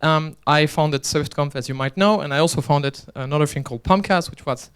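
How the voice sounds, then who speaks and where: Clear-voiced man giving a joking conference talk. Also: on the high side, brisk, fairly bright tone. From Belgium. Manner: chatty, joking, restarts often. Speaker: conference speaker and organizer. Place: conference hall.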